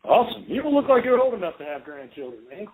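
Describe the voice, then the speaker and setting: Husky-voiced podcast host, man. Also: high voice, big pitch swings, quick pace, phone-quality sound. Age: 40s. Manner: hesitant, many fillers and restarts. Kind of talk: casual interview.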